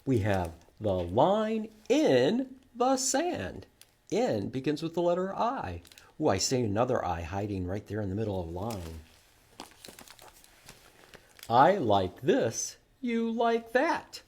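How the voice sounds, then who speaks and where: A man presenting a children's library storytime, reading aloud in an animated, sing-song way with questions and repeated words for young listeners. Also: mid-range voice, slow, husky.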